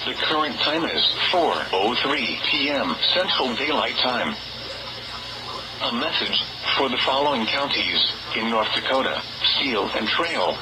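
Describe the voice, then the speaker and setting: A man's voice: medium pitch, lively intonation, very noisy. Synthetic male weather radio announcer. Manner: formal, measured, synthetic delivery. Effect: weather radio broadcast. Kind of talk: scripted weather bulletin narration. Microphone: radio broadcast.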